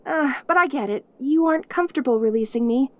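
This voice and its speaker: Woman playing a high-pitched, perky, lonely demon girl. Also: expressive, quick pace, darkish tone, no echo. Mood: sweetly pleading. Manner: cutesy, gushing, hesitant stammering. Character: perky, lonely, clingy caged demon girl, centuries old. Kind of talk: audio roleplay acting addressed to the listener.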